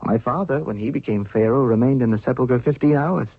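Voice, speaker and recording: A man acting in a 1970s radio drama as a young, newly crowned pharaoh, grave, halting and apprehensive. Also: mid-range voice, breathy edge, dry recording. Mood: solemn, uneasy. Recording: dry phone-like vintage radio recording.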